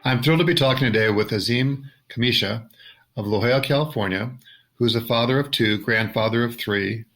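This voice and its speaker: A man hosting a podcast interview, reading prepared introductions in a steady way. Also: medium pitch, brisk, soft background music. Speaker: podcast host.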